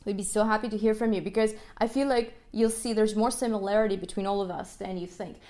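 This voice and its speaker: A young woman from Ukraine, a native Russian speaker, hosts her own podcast in a lively, animated way. Her voice sits at a middle pitch, and she keeps checking in with her listeners and laughing as she talks. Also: fast. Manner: animated, frequent "right?" tags and laughs. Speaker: podcast host, music educator. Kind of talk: solo podcast talk.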